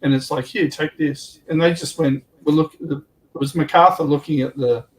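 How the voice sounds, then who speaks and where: A man in casual, halting discussion as a livestream co-host, thinking aloud in an informal way. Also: a little high, fairly even intonation, rough recording. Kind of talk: casual hobby and military history discussion. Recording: faint background noise, room ambience.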